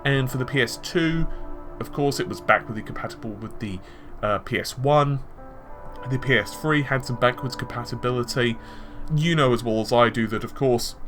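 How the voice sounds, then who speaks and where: Man, mid-pitched voice, conversational tech commentary, explanatory with hedges and asides, background music. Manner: explanatory, hedging with examples and asides. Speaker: gaming news commentator.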